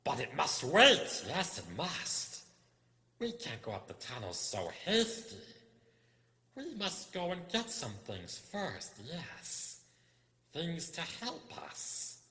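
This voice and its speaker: Wicked sly cave creature, strained hissing whispers, drawn-out muttering, storybook villain, cavernous echo. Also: male voice, on the high side, huge pitch swings, bright, forceful tone, pitched above the performer's own voice, heavily acted. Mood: sly, impatient. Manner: talks to himself, drawn-out muttering. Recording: echoey room with long reverb.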